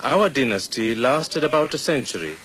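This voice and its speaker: An older man from Kenya with a Kenyan accent, a native Swahili speaker, giving measured, reflective documentary narration as presenter. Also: mid-range voice, low-quality recording. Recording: steady background noise.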